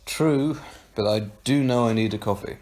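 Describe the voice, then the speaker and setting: A man's voice: medium pitch, normal speed, a little mellow, a little hiss or hum, lightly acted. A man playing an awkward, dryly sarcastic young man. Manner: hesitant, stammering, dry. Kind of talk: audio drama acting.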